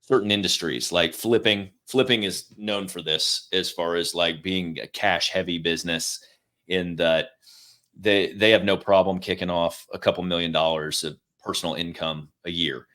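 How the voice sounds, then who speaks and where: A middle-aged man hosting a podcast, talking in a relaxed, rambling way and swearing casually. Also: sits low, normal speed. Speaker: podcast host.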